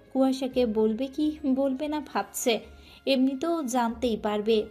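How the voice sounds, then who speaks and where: A woman reads a romantic story aloud with emotive, dramatic delivery. Her voice is mellow and soft yet pitched somewhat high, and faint music plays under her reading. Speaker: story narrator. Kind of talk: scripted storytelling narration.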